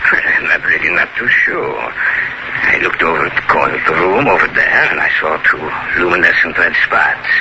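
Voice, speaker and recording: An actor playing a calm, courteous gentleman doctor, speaking gravely and formally in old radio horror style. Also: extremely low pitch. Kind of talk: 1940s radio horror acting. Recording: noisy, dull old radio drama recording.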